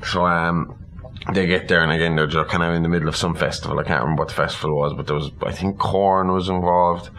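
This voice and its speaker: A man's voice: low voice, fairly even intonation, fast, a bit bright. A man co-hosting a podcast, recapping a film story in an informal, casual way. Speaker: podcast co-host. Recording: clear background room noise.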